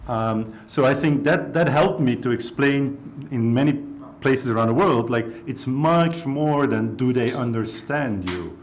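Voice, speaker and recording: A man's voice: mid-range voice, animated, unhurried, hardly any pauses, slight background noise. A man from Belgium speaking on a panel discussion, casual and filling his speech with "like". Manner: casual, lots of "like" fillers.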